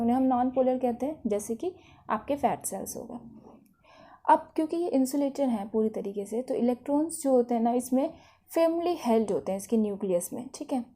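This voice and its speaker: Female Indian lecturer, fairly high and very soft-edged mellow voice, didactic, mixes English terms into her explanations. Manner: didactic, often checks understanding with short tag questions. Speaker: physiotherapy lecturer.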